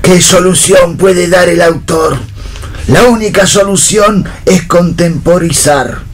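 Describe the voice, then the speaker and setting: A man's voice: sits high, steady tone, takes it slowly, soft background music. A man acting a pompous, indignant, privileged father in a radio play, speaking in an emphatic, oratorical way. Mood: pompous, scornful. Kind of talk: read theatre for radio.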